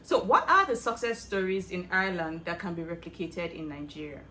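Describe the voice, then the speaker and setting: A woman interviewing a guest, asking short questions and giving soft backchannel sounds. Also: lowish voice, fairly quick.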